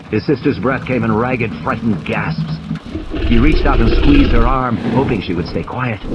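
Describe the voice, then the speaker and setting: Male audiobook narrator, dramatic scripted thriller reading, highly animated intonation, medium pitch, clear rain and vehicle ambience. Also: muffled recording, noisy background. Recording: clear rain and storm effects behind. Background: rain, vehicle and ambience sound effects.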